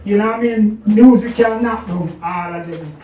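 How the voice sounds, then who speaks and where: High-pitched Jamaican man in echoey room. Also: a little hiss or hum. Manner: halting, repeats words, conversational tags. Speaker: DJ and internet radio show host. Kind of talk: casual self-introduction to an audience. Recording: dull, echoey, distant room microphone.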